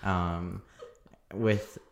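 A young man co-hosting a casual TV recap chat, talking in a monotone, rambling with lots of fillers and frequent laughs. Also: low-pitched, drawn-out words, gravelly. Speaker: podcast co-host. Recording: echoey room.

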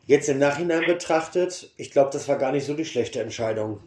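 A man's voice: medium pitch, fairly quick, a little mellow, a little hiss or hum. A man interviewing a guest in an enthusiastic way, with many fillers. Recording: echoey room.

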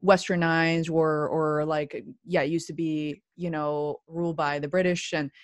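Low-voiced chatty woman interviewer. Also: flat delivery, a little slow, no room sound. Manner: chatty, rambling, restarts often. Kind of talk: casual conversational interview.

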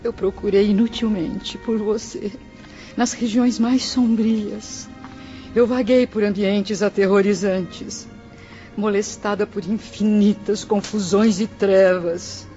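An actress playing the sorrowful spirit of an old mother in a radio drama, mournful, solemn and drawn-out. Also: mid-range voice, steady tone, mellow, close to an everyday voice. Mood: tormented. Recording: dull radio drama recording with music.

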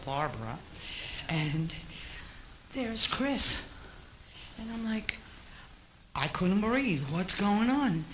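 An older woman, slightly hoarse from allergies, telling a personal story to a group in an animated, emotional way. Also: deep voice, slight background noise, rough recording. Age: 60s or older. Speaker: congregation member sharing a joy. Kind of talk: personal anecdote told aloud to a group. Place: church sanctuary during service.

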